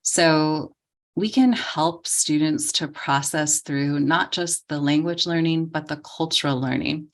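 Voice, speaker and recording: Low-voiced woman giving a tutor training talk. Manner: calm, explanatory, frequent ums. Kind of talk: training lecture.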